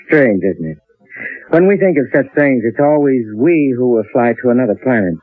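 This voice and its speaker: An old, narrow-band radio drama recording presents an earnest writer, played by a male actor. His husky voice moves in lively swings, inquisitive and dramatically projected. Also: mid-range voice, moderate pace, no echo, close to an everyday voice. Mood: pensive. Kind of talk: old-time radio drama narration and dialogue.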